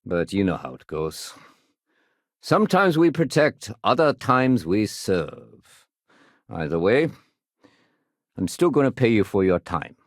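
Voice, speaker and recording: A man's voice: lowish voice, big pitch swings, takes it slowly, pauses often, no room sound, clean studio sound, full character voice. An actor playing a no-nonsense, dutiful male city security sergeant in a video game, with a clipped, official, wry delivery. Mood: wry, appreciative.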